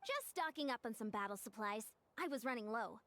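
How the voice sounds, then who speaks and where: A woman's voice: on the high side, expressive, brisk, fairly bright tone, no echo. A woman voicing an upbeat, encouraging young female coach in an anime game. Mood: friendly, encouraging.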